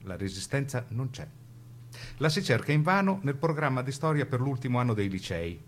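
A man presenting scripted radio documentary narration in a measured, rhetorical way. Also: mid-range voice, very animated, a little hiss or hum.

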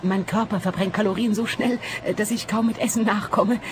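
A woman's voice: mid-range voice, steady tone, fairly quick, background noise, natural voice. An actress playing a nervous woman client in a radio play, who stammers and repeats her words. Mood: nervous, rambling.

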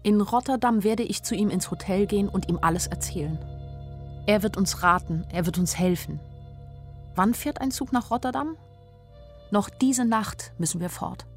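A woman's voice: mid-range voice, normal speed, faint music behind, lightly acted. Actress as anxious young woman, intimate radio-play narration. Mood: anxious, urgent. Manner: intimate inner monologue in short plain sentences. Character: anxious young woman narrating her flight, inwardly urgent. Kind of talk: literary radio play narration in present tense.

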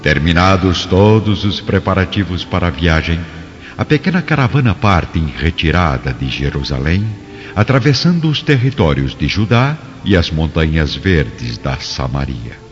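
A man's voice: deep voice, big pitch swings, normal speed. A man with a Brazilian accent narrating a radio soap in a solemn, dramatic, scripted style. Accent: Brazilian Portuguese. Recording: reverberant, dull lo-fi, music behind.

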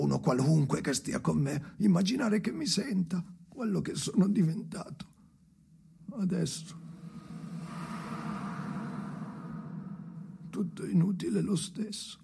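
Gravelly, husky, brooding man. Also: fairly high pitch, exaggerated voice. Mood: lonely, weary. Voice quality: husky, gravelly, often sinking into whisper. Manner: halting short phrases, brooding. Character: Henry, bitter anguished man talking to his dead father. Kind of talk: literary radio drama monologue.

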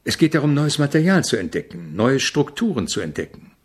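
An actor playing a polite, exacting avant-garde composer in a radio drama, speaking in a measured, questioning, instructive manner with a husky voice. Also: male voice, medium pitch, very animated. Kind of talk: German radio drama acting.

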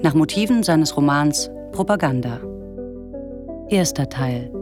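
A woman with a low voice makes formal announcements for a radio drama. She reads from a script at a slow, measured pace, with music clearly behind her. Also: expressive. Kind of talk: formal scripted credits reading.

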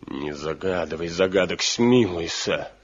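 An actor playing a proud, anguished master craftsman in a Soviet radio play, speaking gravely and dramatically. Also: sits low, lively intonation, a little slow, heavily acted. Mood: anguished pleading. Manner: grave, solemn, dramatic delivery. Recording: dull, muffled 1970 radio play recording.

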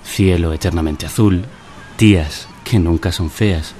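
An actor playing a cynical, provocative adman in a satirical radio drama, speaking in a deliberate, declamatory way. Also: low voice, dark tone, slight background noise. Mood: cynical, confiding. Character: cynical, self-confessed adman narrator, coolly provocative. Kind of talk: radio drama monologue and parody ad voice-over.

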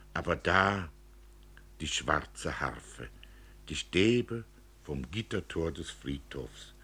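A man giving an expressive reading of literary prose, as an author reading from his own novel. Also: low voice, breathy edge.

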